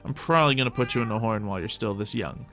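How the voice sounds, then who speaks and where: A man's voice: lowish voice, rapid-fire, fairly bright tone. Man casually chatting through gameplay, music underneath. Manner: chatty, talks to game animals and thinks aloud. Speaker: gaming video host. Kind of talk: casual improvised Minecraft roleplay commentary.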